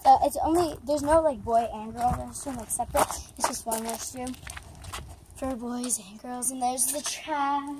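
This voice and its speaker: A young girl chatting in a casual camping vlog, giggly and excited, recorded on a phone outdoors. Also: on the high side, moderate pace, heavy background noise. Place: campground outdoors. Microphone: handheld phone.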